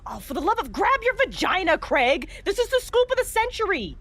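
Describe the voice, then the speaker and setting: An actress playing a brash, foul-mouthed, pushy paranormal investigator in a horror audio drama. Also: female voice, very high-pitched, big pitch swings, brisk, a bit bright, full character voice. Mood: excited, pushy.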